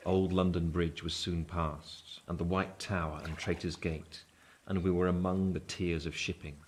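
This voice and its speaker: An actor playing an earnest Victorian gentleman who narrates his own past in literary, measured storytelling for a radio play. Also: low voice, slight background noise. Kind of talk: first-person dramatic narration, radio play. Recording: clear ambient sound effects behind voice.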